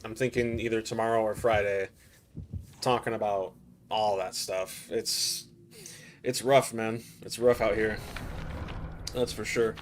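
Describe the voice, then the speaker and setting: A man with a raspy, hoarse voice from a recent cold, chatting casually to his viewers while playing a game on a live stream. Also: on the low side, steady tone, unhurried, pauses often, a little hiss or hum. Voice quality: raspy throat. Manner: laid-back, chatty, slangy asides to chat. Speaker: gaming streamer. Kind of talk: casual live gameplay commentary.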